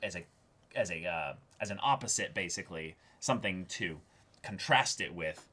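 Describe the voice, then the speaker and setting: A man co-hosting a reaction show, talking casually in an earnest, reflective way with halting, repeated words. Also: deep voice, expressive, pushed and bright. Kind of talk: casual discussion of an anime episode.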